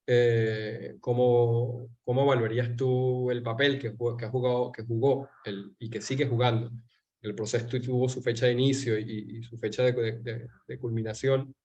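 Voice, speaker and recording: A Venezuelan man hosting a political interview, talking in a measured way and pausing to search for words. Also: medium pitch. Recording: dull lo-fi sound.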